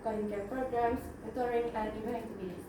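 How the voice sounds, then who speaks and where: Halting young woman with an Indonesian accent. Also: on the high side, steady tone. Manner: halting, with frequent fillers and self-corrections. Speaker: student presenter. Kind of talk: class presentation. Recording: echoey, distant mic, background noise.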